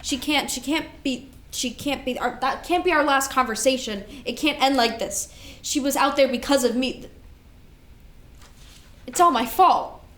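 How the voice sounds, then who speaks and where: A woman's voice: high-pitched, measured pace, fairly bright tone. A woman in a staged play reading, playing a devoted older sister burdened by grief and responsibility, speaking earnestly and emotionally. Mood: shocked, guilt-stricken. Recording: echoey room recording.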